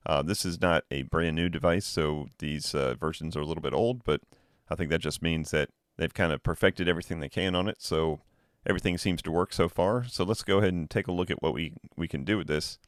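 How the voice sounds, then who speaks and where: A man with a deep, low-set voice walks through a gadget review in an easygoing way, explaining as he goes and thinking out loud. The sound is dry and close, with no room around it. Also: brisk, few pauses, no room sound. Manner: relaxed, explanatory, thinking aloud. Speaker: tech reviewer. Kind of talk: casual product review demo.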